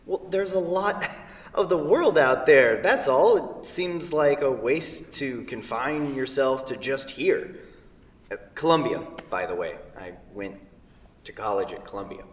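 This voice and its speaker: An awkward Midwestern writer, played by a man in a staged play reading, answers in a hesitant, dryly defensive way. His mid-pitched voice is picked up from a distance in a hall with heavy echo. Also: animated, unhurried, lightly acted. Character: dry, awkward Midwestern freelance writer named Kent. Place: theatre, recorded from a distance. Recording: distant mic in echoey hall.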